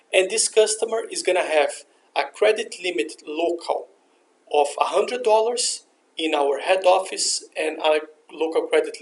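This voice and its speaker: A man narrating a software tutorial as an instructor, explaining methodically step by step. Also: high voice. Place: voice-over for a screen recording.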